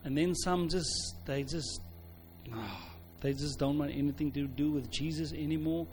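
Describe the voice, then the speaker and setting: A man's voice: fairly high pitch, normal speed, darkish tone, a little hiss or hum. A man with a South African accent giving an informal sermon, speaking emphatically and conversationally, often repeating phrases for weight. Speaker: pastor, preacher.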